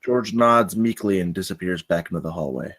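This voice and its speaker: A man's voice: low-pitched, fairly quick, fairly bright tone. A man narrating the scene headings and stage directions at a screenplay table read.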